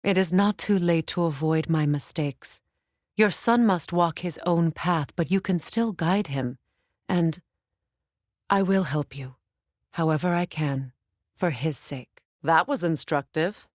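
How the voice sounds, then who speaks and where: An actress playing a proud middle-aged Norse goddess and warrior companion in a video game, with formal, grave diction. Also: female voice, sits low, a little slow, no room sound, clean studio sound. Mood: earnest, solemn.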